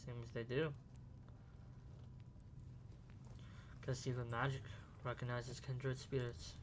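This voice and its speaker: A thoughtful young wizard student, acted by a man, in a medium-pitched voice with faint noise behind it. He is calm, measured and principled, in audio drama style. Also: natural voice. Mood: tender. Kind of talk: fan audio drama acting.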